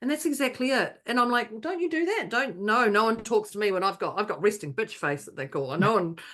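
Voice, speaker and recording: A woman co-hosting a podcast who tells stories in an animated way, with frequent restarts and repeated words. She slips into other people's voices when quoting them, in plain casual chat. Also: mid-range voice, fast talker. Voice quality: not calming, by her own account. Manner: animated anecdotes, many restarts, acts out others' lines. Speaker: podcast co-host.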